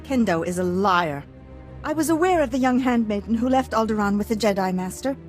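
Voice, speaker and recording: A woman's voice: mid-range voice, animated, brisk, faint music behind, close to an everyday voice. An actress playing a refined, proud but anxious noblewoman in a video game, speaking formally and earnestly. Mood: composed, earnest. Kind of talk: video game character acting.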